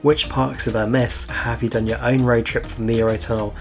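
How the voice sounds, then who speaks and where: A man's voice: medium pitch, flat delivery, fairly quick. A man from the UK, a travel vlogger, giving an upbeat, chatty scripted voice-over about theme park road trips. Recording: music bed under the voice.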